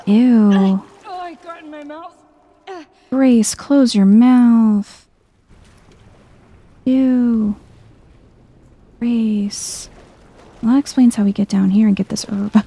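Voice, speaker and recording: A woman with a very dark, mellow voice and a husky, breathy edge gives soft-spoken ASMR game commentary. She talks slowly and calmly, as if thinking aloud to herself while she plays. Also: a little high. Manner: chill, musing to herself. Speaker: video game streamer.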